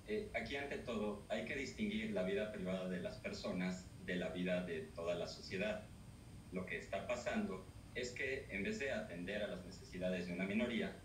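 A man guest on a TV news interview, speaking formally with some hesitant fillers, over a video call from an office. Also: low voice. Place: office with bookshelves. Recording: roomy, distant, noisy, played off a TV.

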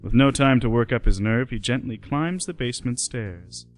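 A man's voice: medium pitch, lively intonation, moderate pace, soft background music. A man giving scripted narration for a radio play.

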